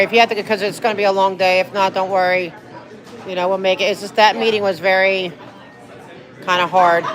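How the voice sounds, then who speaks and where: Young woman streamer from New Jersey, chatty and rambling, busy crowded spot. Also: mid-range voice. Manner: chatty, rambling, reads viewer comments aloud. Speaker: livestreamer. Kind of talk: casual livestream chat.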